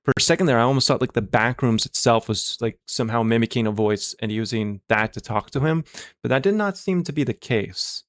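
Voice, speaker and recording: A man reacts to videos as a streamer. His voice is medium in pitch, and he talks in a casual, informal commentary style. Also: fairly quick.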